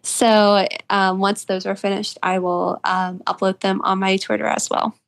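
Woman, interview guest, noticeable vocal fry, medium pitch, casual, friendly, enthusiastic. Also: little pitch movement, a little slow.

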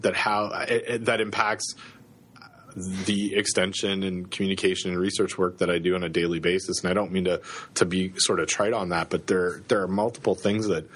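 Husky, deep-voiced man with a cold. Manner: halting, many fillers and restarts. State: has a cold, sniffling. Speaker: podcast co-host. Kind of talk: casual podcast chat. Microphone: close studio microphone.

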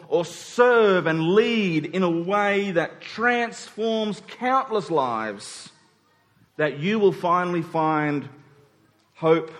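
A man in his forties preaching a sermon, speaking rhetorically with emphatic points and dropped asides. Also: high voice. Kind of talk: sermon to a congregation. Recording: long hall reverb.

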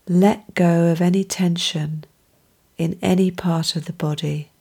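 A woman guides a mindfulness meditation in a calm, soothing way. Her voice is soft-edged and mellow, sitting a little low. She speaks very slowly, letting each gentle phrase settle before the next. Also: husky.

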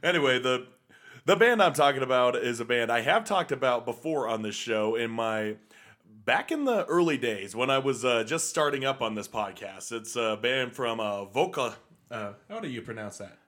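A man's voice: mid-range voice, animated, moderate pace, a bit bright. Rambling, hesitant man chatting music. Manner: rambling, hesitant, lots of fillers and restarts. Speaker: podcast co-host. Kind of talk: casual chat about punk music. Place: Salt Lake City, USA.

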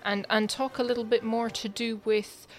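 A woman's voice: on the high side, level tone, normal speed, a little hiss or hum. A woman hosting a podcast in a chatty, conversational way, laughing easily. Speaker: podcast host.

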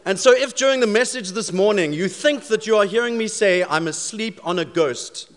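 South African pastor preaching in a reverberant sanctuary. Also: male voice, sits high, normal speed. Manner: storytelling, jokey then prayerful. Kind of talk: sermon. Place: church sanctuary. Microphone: handheld or stage microphone. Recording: long hall reverb in sanctuary.

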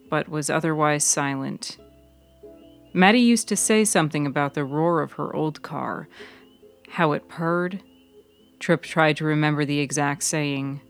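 A woman reading scripted fiction as the narrator of a serial story. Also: deep voice. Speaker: author and narrator.

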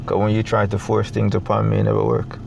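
A young adult man from Jamaica with a Jamaican accent, talking in a reflective, halting way with many fillers as a guest in a casual interview. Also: lowish voice, monotone, gravelly, dull audio, noisy background.

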